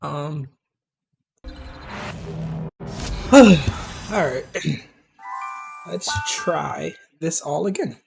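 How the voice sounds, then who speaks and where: A man casually commenting on game replays for his live stream viewers, hoarse from losing his voice and talking straight to chat with lots of asides. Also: a little high, animated, extremely slow, long pauses, over music. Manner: chatty, talks to chat directly, many asides and restarts.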